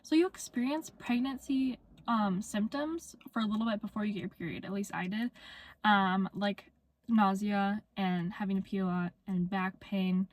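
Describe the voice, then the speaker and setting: A woman's voice: mid-range voice, steady tone, moderate pace, slight background noise. A young woman vlogging to camera in a casual, chatty way, full of "like" and "um," her voice a little congested from a cold. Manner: chatty, filler-heavy with frequent "like" and "um". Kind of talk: casual talking-to-camera vlog.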